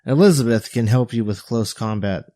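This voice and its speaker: A man voicing a sober, battle-worn bodyguard and mentor in an audio story, measured and earnest. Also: medium pitch, dark tone, no echo, studio quality, pitched above the performer's own voice, close to an everyday voice. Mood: reassuring.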